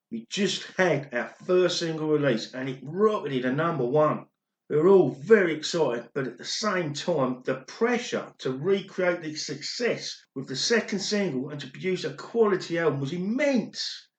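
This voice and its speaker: A man's voice: fairly high pitch, natural voice. Man playing an ageing ex-drummer, roomy recording. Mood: reminiscing, confiding. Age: older. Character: ageing former pop drummer confessing an old secret, regretful. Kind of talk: amateur radio play acting. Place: recorded alone at home, indoors.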